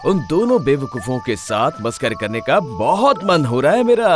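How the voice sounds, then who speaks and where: Man playing a sly, animated village husband. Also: mid-range voice, a little slow, over music. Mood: amused, sly. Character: clever, mischievous village husband, playfully cunning. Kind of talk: animated cartoon story acting.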